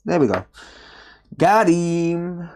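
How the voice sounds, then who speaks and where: A man's voice: a little high, sing-song, drawn-out words, a little mellow. A man chatting casually on a live stream while repairing a console, sarcastic and swearing often as he answers his chat.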